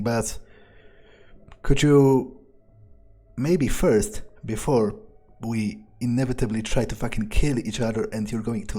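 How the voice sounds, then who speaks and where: A man's voice: medium pitch, mellow. A man giving in-character commentary on a game playthrough, musing casually and swearing freely as he goes. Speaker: gamer role-playing his character aloud.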